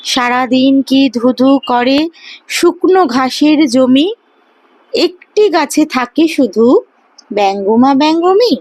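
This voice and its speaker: Female poetry reciter, high voice, unhurried childlike delivery, close dry recording. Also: expressive, a little mellow, no echo, low-quality recording. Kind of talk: expressive Bengali poem recitation in a child's voice.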